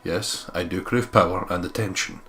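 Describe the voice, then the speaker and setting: A man's voice: sits low, normal speed, a bit bright, soft background music. A man hosting a let's play, reading visual novel narration aloud and breaking off for joking asides.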